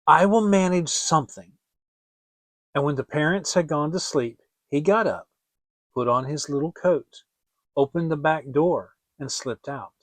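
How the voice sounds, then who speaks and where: A man narrating a fairy tale as a dramatic reading, in a theatrical, deliberate storytelling manner. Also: fairly high pitch, no echo. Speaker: audiobook reader.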